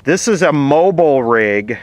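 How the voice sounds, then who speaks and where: A man's voice: a little high, lively intonation, measured pace, no echo. An American man chatting casually outdoors in a plainspoken, wry way. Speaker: vlogger testing a vintage CB radio. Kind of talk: casual chat. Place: outdoors at a table. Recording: faint background noise.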